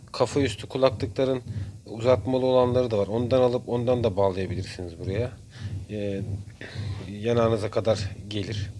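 A man giving a casual hands-on tutorial in short phrases with frequent pauses. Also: mid-range voice, a little mellow. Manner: halting, pausing between short phrases. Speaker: DIY video maker. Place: indoors at a workbench. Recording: clear background noise, room ambience.

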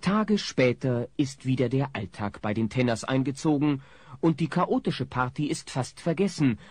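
A man's voice: medium pitch, animated, normal speed, darkish tone. A man narrating a scripted audio play as its storyteller.

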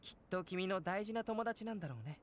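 An actor playing a calm, curious young male alchemist in an anime video game, speaking in a measured, polite, analytical way. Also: high voice, slight background noise, lightly acted. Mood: gentle, detached.

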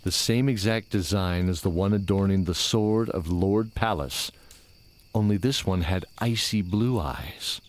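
Deep-voiced man narrating fantasy. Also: a little slow, a little hiss or hum. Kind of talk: dramatic fantasy story narration.

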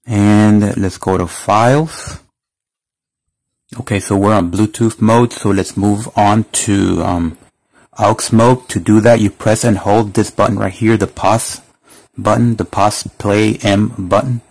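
A man's voice: lowish voice, fairly even intonation, slow pace, a little mellow. A man giving a casual hands-on product demonstration, explaining things step by step. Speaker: product reviewer. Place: indoors at a wooden table.

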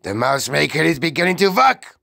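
A narrator voicing a gleeful, evil female witch in a storybook, with a theatrical, drawn-out, gloating delivery. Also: medium pitch, sing-song, vocal fry, pushed and bright, no echo, clean studio sound, pitched above the performer's own voice, exaggerated voice. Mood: gloating, triumphant.

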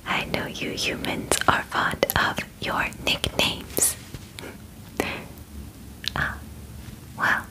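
A woman in her twenties whispering softly and breathily in an ASMR roleplay as a polite, formal alien examiner, with gentle, halting phrasing. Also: low-quality recording. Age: 20s. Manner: gentle, halting, measured, formal wording with short pauses. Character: polite, matter-of-fact female alien medical examiner. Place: small bedroom, indoors.

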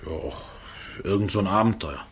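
An actor as a low-voiced, evasive murder suspect. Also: male voice, normal speed, a little hiss or hum, lightly acted. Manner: hesitant, evasive. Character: evasive, uneasy male murder suspect, shifty petty thief. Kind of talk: German radio drama acting.

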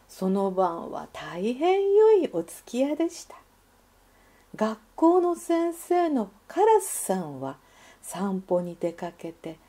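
A woman reading a children's story as a scripted narration, with careful, expressive delivery. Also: high voice.

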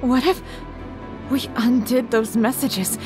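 A refined, brooding young anime woman played by a voice actress, somewhat high in pitch. She speaks slowly and formally, with a weary weight, over music. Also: steady tone. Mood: weary anguish. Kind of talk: animated visual novel acting. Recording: music behind the voice.

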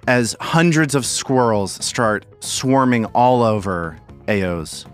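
Slow, measured male game master. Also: medium pitch, faint music behind. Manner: measured, dramatic narration with dry humor. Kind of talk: narrating a tabletop game.

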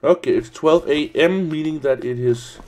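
A man's voice: a little high, moderate pace, darkish tone. A young man with a sniffly cold giving casual, rambling, sweary gaming commentary as host. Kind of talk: casual let's play commentary. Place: indoors at a desk, webcam view. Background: faint game music.

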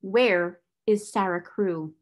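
A woman's voice: mid-range voice, animated, normal speed, natural voice. A woman voicing a cold, furious, domineering older schoolmistress with a fierce, biting delivery in a storybook reading. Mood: fierce, bitterly ironic.

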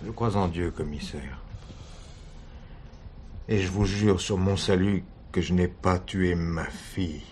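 An actor playing a wounded, weary husband suspected of murder, halting and defensive, in a French radio crime drama. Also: male voice, low-pitched, a little mellow. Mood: pleading, defensive. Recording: noisy old radio drama recording.

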